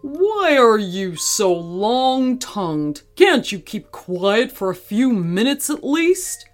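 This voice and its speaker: Grumpy loner gorilla for a children's story, acted by a woman: huffy, dismissive, drawn-out words with big pitch swings. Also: animated, a little mellow, faint music behind. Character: grumpy, rude, impatient male gorilla loner.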